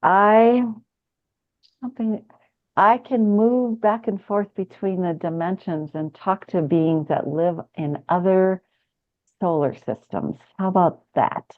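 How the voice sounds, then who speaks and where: A woman's voice: medium pitch, takes it slowly, phone-quality sound. A woman speaking conversationally about spiritual matters as a podcast guest, in an earnest, affirming manner. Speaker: spiritual guide working with clients.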